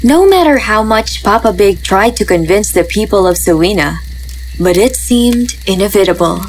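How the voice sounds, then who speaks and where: A woman's voice: mid-range voice, animated, moderate pace, slight background noise, rough recording. A woman giving scripted narration of a fairy tale as the storyteller. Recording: music behind the voice.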